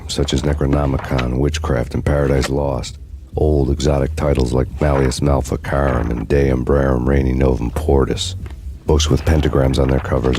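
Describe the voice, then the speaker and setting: An actor playing a weary male detective who narrates a brooding noir voice-over in a deadpan tone. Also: a bass voice, noisy background. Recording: game audio with loud music.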